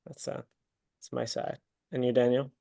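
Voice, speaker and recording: A man's voice: mid-range voice, level tone, normal speed, gravelly, mellow. Easygoing man with an Italian accent. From Italy. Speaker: webinar speaker, entrepreneur. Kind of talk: casual webinar talk.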